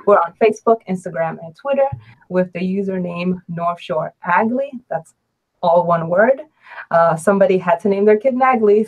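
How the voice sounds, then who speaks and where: A woman in her early thirties hosts a casual live chat. Her voice sits a little low, and her manner is relaxed and unhurried, with frequent ums between thoughts. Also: poor audio. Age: 30s. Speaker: host and adult advisor.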